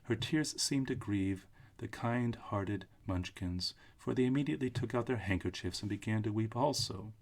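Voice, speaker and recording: A man's voice: on the low side, normal speed, few pauses, a little mellow. A man narrating a storybook aloud, reading straight from the text.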